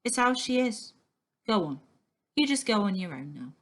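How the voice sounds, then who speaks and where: A woman voicing a gentle, tearful fairground father in a storybook, speaking with tender encouragement. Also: mid-range voice, very animated, moderate pace.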